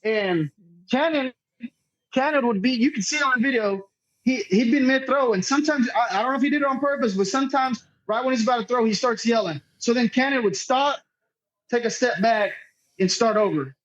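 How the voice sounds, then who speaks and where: A man's voice: very high voice, fairly quick, a bit bright, highs cut off. A man being interviewed as a podcast guest, telling a story casually in a rambling, profane way and often quoting others. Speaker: podcast guest, cornhole player.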